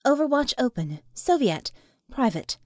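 A woman voicing a brisk, friendly young female technomage in an audiobook, peppering her orders with Russian words. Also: high-pitched, sing-song, darkish tone, dry recording, studio quality, full character voice. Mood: brisk, commanding.

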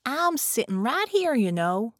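A woman's voice: fairly low pitch, animated, normal speed, a little mellow, no echo, studio quality. A woman voicing a young male ghost in an audiobook, wry and earnest, with a folksy, colloquial way of talking. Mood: indignant.

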